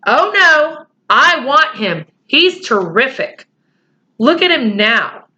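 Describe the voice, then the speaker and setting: Brief: a woman putting on a whiny, stubborn schoolboy, high and shrill, bright tone, muffled recording. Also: very animated, slow pace, full character voice. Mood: defiant. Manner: shrill, yelping, defiant. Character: boy, whiny and hurt, stubborn. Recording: slightly muffled home recording.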